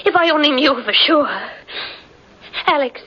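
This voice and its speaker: An actress playing a young daughter in a 1940s radio drama, speaking in an emotional, halting, breathless way. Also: female voice, sits high, pushed and bright. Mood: distraught, halting. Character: distraught young daughter of a missing professor. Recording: dull old radio broadcast.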